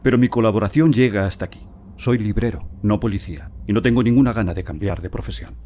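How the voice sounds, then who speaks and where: An actor playing a curt, haughty bookseller in a radio crime drama, condescending. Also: male voice, lowish voice, fast, warm tone, slight background noise, close to an everyday voice. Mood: irritated, dismissive.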